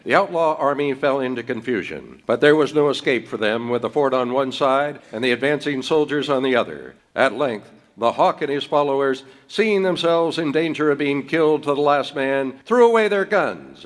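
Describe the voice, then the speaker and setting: A man's voice: mid-range voice, expressive, moderate pace, few pauses. Man narrating a radio Western. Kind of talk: dramatic 1930s radio narration, read live.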